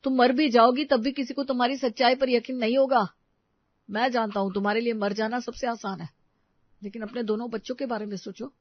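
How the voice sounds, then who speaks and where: A woman's voice: on the high side, steady tone, a little fast, no echo, close to an everyday voice. An earnest young man, voiced by a woman, argues with impassioned, righteous insistence in a family melodrama. The recording is dry and a little muffled. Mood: urgent pleading. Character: earnest, indignant young man defending a wronged widow. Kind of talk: Hindi audio story melodrama.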